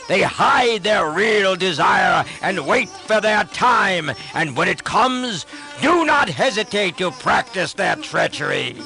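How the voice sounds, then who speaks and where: A man voicing a proud, furious demon king who shouts scornful threats at his brother. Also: high voice, vocal fry, voice pushed up, full character voice.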